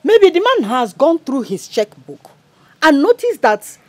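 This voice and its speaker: A woman's voice: sits high, big pitch swings, unhurried. An actress playing an exasperated middle-aged Nigerian wife and mother, arguing back in a film melodrama.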